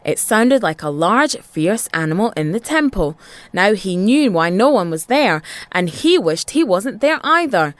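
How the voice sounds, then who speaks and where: A woman reading a children's tale in an expressive, dramatic storytelling style with rhythmic phrasing. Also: medium pitch, unhurried, no echo.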